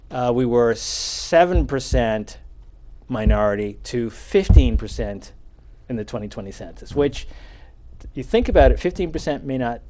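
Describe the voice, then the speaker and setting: A middle-aged man speaking as a talk-show guest. His voice sits at an ordinary pitch, and he explains things at a measured pace with frequent "uh"s and restarts. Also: warm tone. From Charleston, South Carolina. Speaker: guest, NAACP chapter president. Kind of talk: casual talk-show interview.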